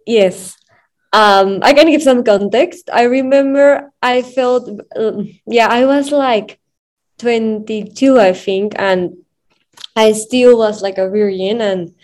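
A woman's voice: a little high, takes it slowly, a little mellow. A woman from Peru with a Peruvian Spanish accent, talking casually as an interview guest, with hesitant phrasing and frequent giggles. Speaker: podcast guest, social enterprise co-founder.

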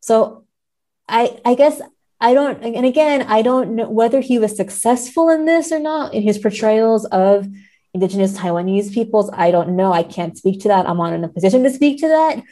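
A woman's voice: a little high, expressive, normal speed, warm tone. A woman speaking as a podcast guest in a thoughtful literary discussion, analytical and careful, often checking in as she goes. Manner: hedging, analytical, often seeking agreement with "right?".

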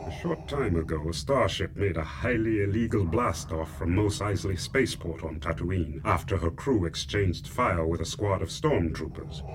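An actor playing a grim, controlled dark Imperial lord, speaking formally and ominously in a space-opera radio drama. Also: male voice, low voice, normal speed, hardly any pauses, natural voice. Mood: grave, measured. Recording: radio drama over clear background noise.

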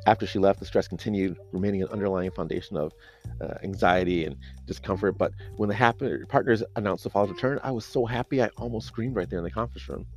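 Low, creaky male first-person narrator. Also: fast talker, a little mellow, soft background music. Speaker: narrator of a serialized audio story.

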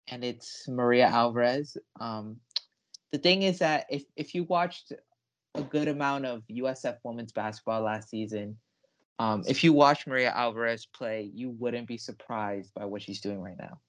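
A young man co-hosting a sports podcast, talking casually with emphatic, enthusiastic delivery. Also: medium pitch.

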